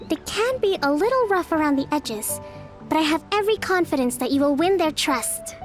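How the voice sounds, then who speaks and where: A young resistance leader in a video game, high-voiced and composed. She speaks in a measured, formal, earnest way, gentle but resolute, with music underneath. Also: expressive. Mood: calm, encouraging. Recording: light music behind the voice.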